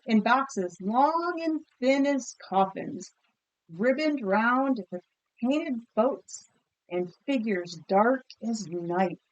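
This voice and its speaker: A woman teacher reading a picture book aloud expressively and pausing to ask listeners questions. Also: fairly high pitch, rough recording. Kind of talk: storybook read-aloud with comprehension questions.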